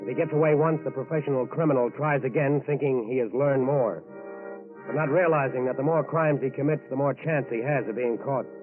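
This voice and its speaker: Music plays behind a man on a thin, narrow-band 1940s radio recording. He narrates in a mid-pitched, grave and emphatic scripted style. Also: fairly quick.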